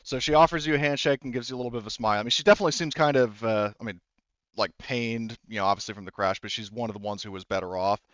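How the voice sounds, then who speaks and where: A man's voice: on the low side, very fast, a bit bright, dry recording, muffled recording. A man working as game master, narrating a tabletop roleplaying game off the cuff.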